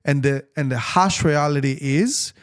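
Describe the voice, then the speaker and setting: A man's voice: fairly high pitch, measured pace, smooth. A man from Italy speaking English with an Italian accent, a tailor talking casually in an interview, with lots of restarts and fillers. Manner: rambling, many restarts and fillers, animated.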